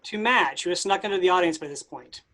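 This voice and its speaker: A woman's voice: on the low side, fast, a bit bright. A woman reading stage directions as scripted narration for a play reading.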